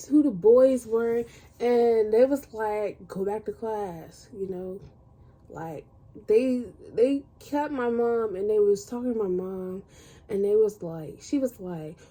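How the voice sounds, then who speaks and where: Husky young American woman telling stories. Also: fairly high pitch, mellow. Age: young adult. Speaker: storytelling vlogger. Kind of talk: casual personal storytime.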